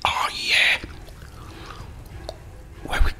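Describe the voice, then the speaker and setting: A man's voice: drawn-out words, very noisy. A middle-aged man whispers ASMR gaming commentary close to the mic while chewing gum. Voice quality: breathy whisper. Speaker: video game streamer. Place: indoor room at a desk. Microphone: close desk mic.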